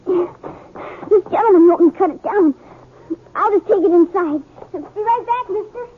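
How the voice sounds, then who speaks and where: A woman's voice: very high voice, measured pace, a little hiss or hum. A woman playing an earnest young country boy in a 1950s Western radio drama, with a rural American accent and a plain, folksy manner. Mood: eager, nervous. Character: earnest, downhearted country orphan boy in the Old West. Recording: narrow-band vintage radio broadcast.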